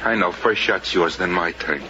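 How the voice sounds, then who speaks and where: A man's voice: sits low, normal speed, pushed and bright, highs cut off, slight background noise. An actor playing a laconic gunman in old-time radio drama, on an old recording. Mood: wry, resigned.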